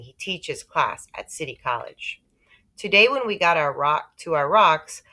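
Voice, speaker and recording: A woman reading a children's story aloud in an expressive, animated way. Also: low voice. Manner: animated, with character voices for dialogue. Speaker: audiobook-style reader.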